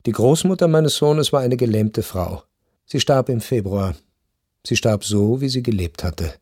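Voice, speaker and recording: A man in a radio play acting a melancholy, detached baron who tells his story in measured, sombre literary narration. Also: medium pitch, expressive, darkish tone, husky, dry recording, studio quality. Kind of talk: literary first-person narration in radio drama.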